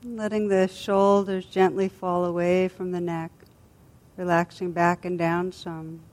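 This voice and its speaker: A woman teacher leading a guided body scan meditation in a gentle, soothing, unhurried manner with long pauses. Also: fairly low pitch, flat delivery.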